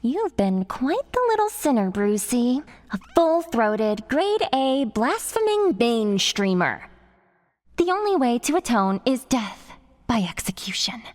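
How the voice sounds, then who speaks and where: A sadistic young metalhead villainess, acted by a woman, toys with her prey in a horror audio drama. She is theatrical, taunting and foul-mouthed, with a pitch that swings animatedly between mockery and menace. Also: very animated, measured pace, a little mellow.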